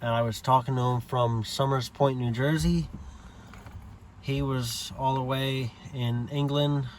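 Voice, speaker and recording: Slow-talking American man with background noise. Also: medium pitch, steady tone. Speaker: amateur radio hobbyist giving a setup tour. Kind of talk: casual unscripted video walkthrough.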